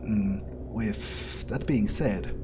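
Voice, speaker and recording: A man playing an arrogant, power-hungry vampire in a video game roleplay, musing aloud in a deliberate, improvised monologue. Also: fairly low pitch, noisy background. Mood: calculating, pondering. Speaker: gameplay video creator role-playing in character. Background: music.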